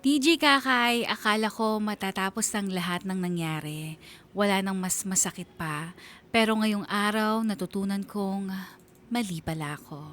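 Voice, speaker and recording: A woman's voice: mid-range voice, normal speed, a bit bright, faint music behind, close to an everyday voice. A woman playing a weary, anguished letter writer, narrating a scripted radio drama in a confessional, sorrowful manner.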